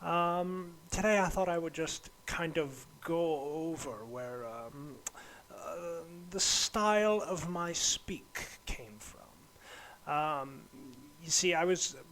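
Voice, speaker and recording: Male podcast host, higher-pitched voice, affected English accent, very slow pompous delivery full of halting repeats. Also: fairly bright tone, slight background noise, low-quality recording. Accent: affected, unconvincing English. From United States. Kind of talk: comedic podcast monologue.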